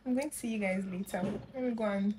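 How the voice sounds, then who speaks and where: A young woman, chatting casually at home as a lifestyle vlogger, relaxed and gushing with soft laughs. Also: medium pitch, fast talker, a little hiss or hum. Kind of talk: casual chat to camera.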